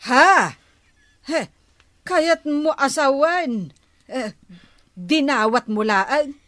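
A reproachful village neighbour in a comic radio drama. She has a high, forceful voice in a dry recording. Her scolding is full of exclamations. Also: drawn-out words, dry recording, heavily acted. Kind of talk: radio comedy drama acting.

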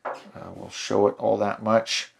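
A man walks through making a print in his home darkroom, talking slowly and thinking out loud as he works. His voice sits low with a gravelly edge, and he often stops to restart a phrase. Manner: relaxed, thinking aloud with fillers and restarts. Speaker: photography channel host. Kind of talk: hands-on darkroom tutorial. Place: home darkroom in a laundry room.

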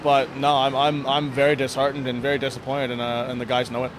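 A man in his thirties, a sports coach giving a candid, casual post-game interview in a plain-spoken, halting way with repeated words. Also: mid-range voice, level tone, over music. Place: indoor sports hall, blue block walls. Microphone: handheld interview microphone. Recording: noisy venue with background ambience.

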